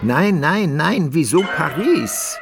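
A man's voice: a little high, big pitch swings, takes it slowly, creaky, over loud music, full character voice. An actor playing a fussy storyteller in a radio drama, who muses aloud and questions himself as he narrates. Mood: musing, puzzled. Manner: musing aloud, self-questioning. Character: musing, fussy storyteller devoted to his hero.

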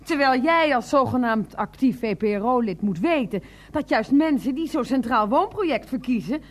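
An actress playing a sharp-tongued, exasperated housemate in a radio play, sarcastic and theatrical, repeating words for emphasis. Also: female voice, high voice, lively intonation, normal speed. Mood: indignant.

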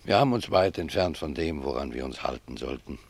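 A man's voice: a bass voice, animated, vocal fry, slightly rough, no room sound, full character voice. A man playing a humble, pious old gardener in a German radio drama, speaking reflectively and with deference to his superior. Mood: pensive, devout. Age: older. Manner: reflective, deferential, unhurried phrasing.

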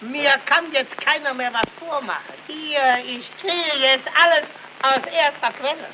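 High-pitched, cocky comic rascal man. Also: a little slow. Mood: cocky, pleased. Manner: cocky, naive, exaggerated comic delivery. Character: selfish male rascal, naive, cocky, comfort-loving comic foil. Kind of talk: 1940s cinema propaganda comedy sketch acting. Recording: muffled, noisy 1940 film soundtrack.

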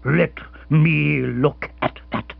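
A man voicing a stern, rugged military captain in an audiobook, speaking slowly and gravely in a husky voice. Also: fairly high pitch, fairly even intonation, no echo, full character voice. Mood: grim. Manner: slow, grave, deliberate. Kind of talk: audiobook character voice. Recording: dull, dry, slightly lo-fi recording.

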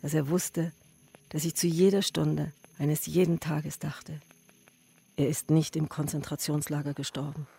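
An actress playing a grave woman who recalls her starved husband's return from war, in a sober, measured radio drama monologue. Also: low voice, little pitch movement, gravelly, dark tone, husky, exaggerated voice. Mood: quiet, remembering. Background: music.

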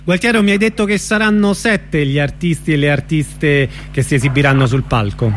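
A man with a distinctly high voice works as a radio interviewer. He puts questions to his guest and gives out practical event details. There is faint noise in the recording. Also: normal speed.

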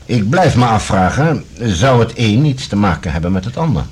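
A man acting a formal, authoritative general in a science-fiction radio drama, with a husky voice and a deliberate, questioning manner. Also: on the low side, very animated, heavily acted. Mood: probing, measured. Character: authoritative male general, probing and measured questioner.

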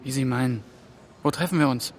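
A man's voice: mid-range voice, fairly quick, a little hiss or hum, natural voice. An actor playing an anxious, impatient man caught up in a conspiracy in a German radio play, with a natural, muttering manner. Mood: irritated.

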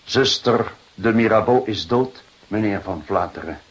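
An actor playing a shrewd police detective in a Dutch radio drama, speaking deliberately and with dry irony. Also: male voice, lowish voice, highs cut off. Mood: formal, grave. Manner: deliberate, probing, dryly ironic.

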